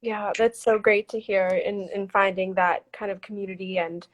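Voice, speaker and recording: A woman hosting a live video interview, friendly, laughing easily and filling pauses with ums. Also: mid-range voice, steady tone, low-quality recording. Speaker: host.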